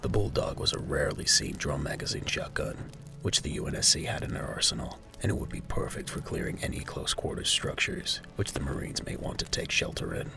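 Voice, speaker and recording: A man's voice: very deep voice, huge pitch swings, fairly quick, creaky, noisy background. A man reading scripted narration for a military audio drama as its narrator. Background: music.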